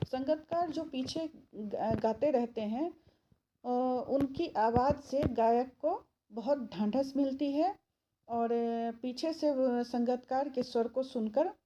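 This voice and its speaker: A woman teacher explaining a poem line by line, repeating phrases and pausing often with fillers. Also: on the high side, mellow. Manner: slow, repeats phrases, many filler pauses. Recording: dull lo-fi sound with faint noise.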